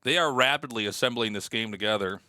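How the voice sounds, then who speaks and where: A man's voice: fairly low pitch, fast, bright, forceful tone, slight background noise. A man hosting a gaming channel, giving casual reaction commentary as a streamer.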